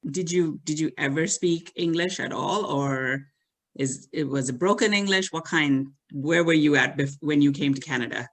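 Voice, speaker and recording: Male host, interviewer; rambling questions, stops and restarts often, voice a bit high. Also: normal speed.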